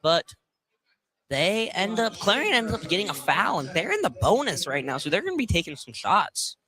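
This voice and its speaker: Bright, forceful man giving basketball analysis. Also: high voice, very animated, normal speed, rough recording. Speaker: color commentator at basketball game. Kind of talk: casual live sports commentary. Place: indoor basketball field house.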